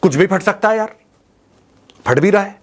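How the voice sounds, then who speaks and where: Animated, high-pitched male commentator. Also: moderate pace. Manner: mocking, colloquial, rhetorical questions, mixes in English; repeats phrases for emphasis. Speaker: host of a news commentary show. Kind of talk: opinionated informal monologue to viewers on current affairs.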